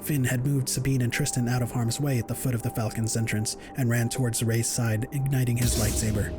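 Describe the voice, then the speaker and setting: Male narrator, medium pitch, dramatic audiobook delivery, scripted, clear music bed behind. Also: steady tone, a little fast. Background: dramatic score.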